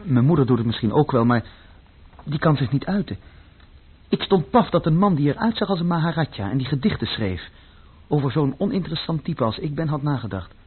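A man's voice: fairly high pitch, animated, brisk, breathy edge. An actor playing a searching, brooding runaway son who tells his own story in a radio play, plainly and introspectively. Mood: brooding, reflective. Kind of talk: radio play narration and dialogue. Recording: muffled, phone-like old radio recording.

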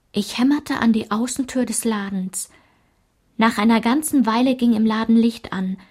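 A woman playing a narrator who recalls her ashamed girlhood, in a measured, matter-of-fact literary radio play style. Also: fairly high pitch, a little mellow.

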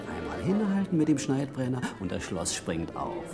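An actor playing a sly, pushy small-time gangster in an old German radio crime play, talking in slangy, cajoling jabs. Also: male voice, medium pitch, wildly swooping pitch, moderate pace, loud music behind the voice. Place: radio drama studio.

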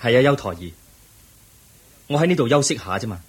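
An actor with a Hong Kong Cantonese accent playing a pensive, earnest young crown prince in a radio drama, speaking formally and reflectively. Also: male voice, mid-range voice, sing-song, brisk, husky. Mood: calm, resolute.

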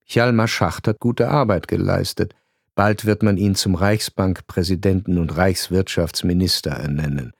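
A man narrating a literary radio drama in a measured, ironic storytelling manner with deliberate pauses. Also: sits low, fairly even intonation, vocal fry, no echo, studio quality.

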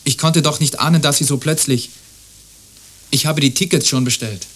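An actor playing a courteous, evasive, lovelorn neighbour in a radio drama, earnest and often breaking off mid-sentence. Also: male voice, on the high side, moderate pace, a bit bright, breathy edge. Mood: awkward. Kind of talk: radio play dialogue.

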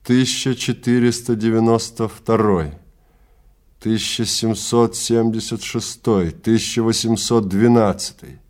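A man's voice: fairly low pitch, steady tone, unhurried, darkish tone. A man narrating a science fiction story in a dramatic, scripted reading style.

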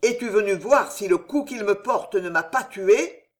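A reader voicing a raging, bitter old father and captain who growls loud commands in a storybook. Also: mid-range voice, a little slow, close to an everyday voice. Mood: enraged.

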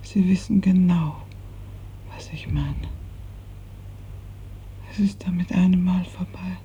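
An actress playing a gravely ill, bedridden woman in a 1950s radio drama, fearful and imploring, her weak, halting voice often sinking to a whisper. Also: lowish voice, steady tone, mellow, exaggerated voice. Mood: anguished, accusing. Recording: muffled 1950s radio recording.

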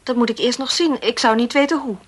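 A woman's voice: a little high, fast talker, husky. An actress playing a wary but defiant woman harassed by a caller in a radio play, answering curtly and indignantly. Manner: curt, indignant.

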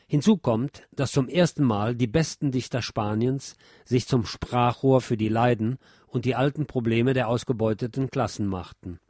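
A man giving a scripted literary talk and reciting poetry in a measured, deliberate, declamatory way, like a radio presenter. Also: mid-range voice, darkish tone, no room sound, clean studio sound.

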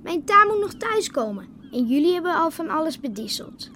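A woman voicing an opinionated young girl who argues her point plainly. The voice is high, with a faint hiss in the background. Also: sing-song, normal speed, bright, forceful tone, heavily acted. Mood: firm. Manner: firm, outspoken. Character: young island girl Tijne, outspoken, opinionated. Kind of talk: radio drama acting.

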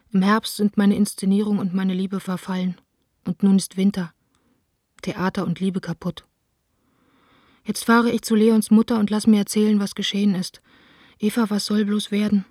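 A woman's voice: mid-range voice, flat delivery, moderate pace, a little mellow, no room sound, clean studio sound, lightly acted. An actress playing a disheartened young theatre director, reading her letters intimately in a radio drama. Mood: shaken, pleading.

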